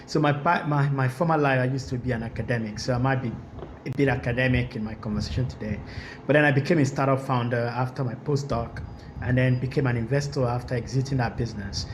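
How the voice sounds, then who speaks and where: Nigerian man on a noisy video call. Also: medium pitch. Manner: hesitant, restarts often. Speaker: venture capital panelist. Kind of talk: panel discussion.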